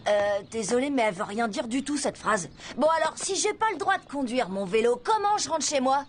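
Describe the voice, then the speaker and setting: High, lively clever schoolboy. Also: female voice, fairly quick, a little hiss or hum. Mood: exasperated. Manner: reasoning, wry, expressive. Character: clever, exasperated preteen schoolboy cartoon hero. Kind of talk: animated cartoon dub acting.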